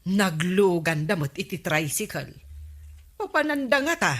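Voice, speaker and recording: A woman's voice: on the low side, big pitch swings, a little slow, a bit bright, heavily acted. A woman with an Ilocano accent playing a chatty, gossiping village neighbour in a comedy radio drama. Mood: gossipy. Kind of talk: broadcast comedy drama acting.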